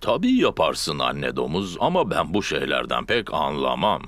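A man's voice: very deep voice, big pitch swings, moderate pace, fairly bright tone, no room sound, full character voice. A man voicing a bumbling, good-natured cartoon father pig who hums and haws as he talks. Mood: unsure. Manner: hesitant, humming and hawing. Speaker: Daddy Pig voice in a cartoon dub. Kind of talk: cartoon dubbing.

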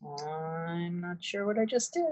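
A woman on a video call quietly muttering to herself, puzzled, as she tries to work out the call settings. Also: fairly low pitch, very animated, takes it slowly.

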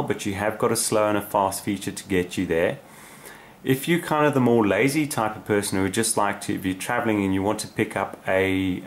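Male hobbyist presenter, lowish pitch, informal and rambling, some background noise. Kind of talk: casual unscripted demonstration. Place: indoor radio desk, off camera. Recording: clear background noise, radio hiss.

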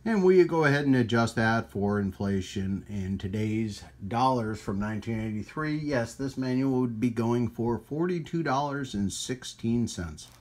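A man hosting a hobby radio channel in a casual, rambling hands-on demonstration, with folksy trailing asides and a husky voice. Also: mid-range voice, expressive, normal speed, hardly any pauses. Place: indoors at a radio workbench.

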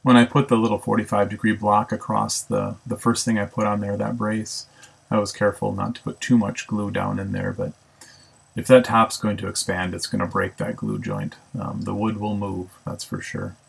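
A man's voice: fairly low pitch, moderate pace, creaky, breathy edge. A man casually narrating a woodworking build, thinking aloud with ums and self-corrections. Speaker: woodworking instructor. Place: home woodshop.

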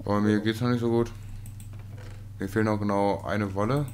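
Brief: very low-pitched man, easygoing co-player banter, faint hiss behind. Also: steady tone, normal speed, a little mellow. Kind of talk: casual gaming chat.

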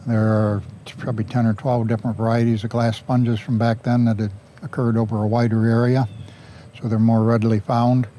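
An older man giving a garden tour outdoors, talking in a folksy, unhurried way on a lapel mic. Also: medium pitch, little pitch movement, mellow, a little hiss or hum. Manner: folksy, unhurried, chuckles at own jokes. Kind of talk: casual storytelling tour.

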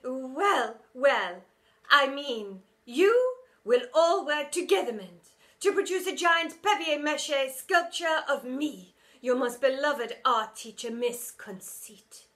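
A woman's voice: sits high, lively intonation, slow, a bit bright, pitched above the performer's own voice, full character voice. A woman voicing a vain, pompous female art teacher who drags out her words and mangles them with made-up endings. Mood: grandiose. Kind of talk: storybook character voice.

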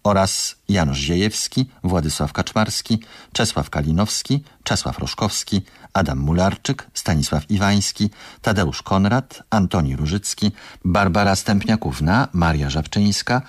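A man's voice: on the low side, dry recording, studio quality. A man reading a scripted radio announcement of credits in a formal, articulated manner.

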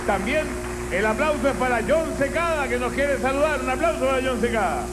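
A man's voice: expressive, brisk, loud music behind the voice. A man in his fifties hosting a TV variety special in a studio, introducing guests and calling for applause. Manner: booming presenter introducing acts. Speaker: host. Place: TV studio stage.

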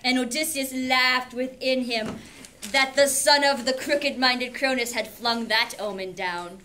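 High, bright-voiced woman teacher. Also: steady tone, unhurried. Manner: theatrical, informal. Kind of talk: animated classroom read-aloud with explanatory asides. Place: classroom, indoors. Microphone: camera a few metres away. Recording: classroom camera with background noise.

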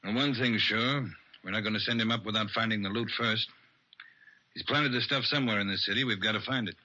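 Forceful, skeptical police chief. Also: male voice, on the low side. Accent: American. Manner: brisk, skeptical, authoritative. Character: gruff, questioning male police chief. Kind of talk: vintage radio crime drama dialogue. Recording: dull vintage radio recording.

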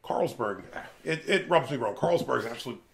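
A man's voice: medium pitch, a little fast, vocal fry. A man in his forties from the American Northeast, hosting a beer review show in casual, jokey, rambling chat. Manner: animated, jokey, rambling asides. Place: small room lined with beer shelves.

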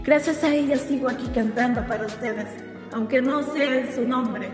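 A woman voicing a sweet, showy young female pop idol in a fan comic dub, with theatrical, drawn-out delivery. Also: a little high, reverberant, big-room sound, music in the background, lightly acted.

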